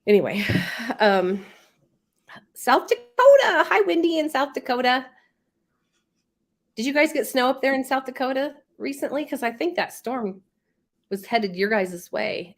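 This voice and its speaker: Congested woman chatting on a live stream. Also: high voice. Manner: chatty, rambling, laughs often. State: congested from a head cold. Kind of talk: casual live stream chat, reading viewer comments.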